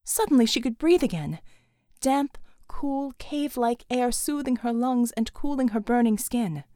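A woman's voice: fairly high pitch, expressive, a little slow, dark tone, no echo, clean studio sound. A woman reading dramatic action fiction as a scripted audiobook narration.

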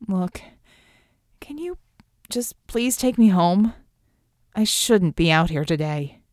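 A woman's voice: a little high, lively intonation, takes it slowly, dark tone, no room sound, studio quality. A woman voicing an anxious, reluctant male hero who speaks hesitantly, pleading and downbeat, in an audiobook adventure.

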